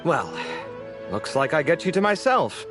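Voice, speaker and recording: A friendly young man from a video game, speaking in a lighter, higher male register. His delivery swings widely and expressively, chatty and teasing with a theatrical, exasperated edge. Faint music plays behind him. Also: unhurried. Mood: friendly, helpful. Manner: animated, expressive, apologetic then sarcastic.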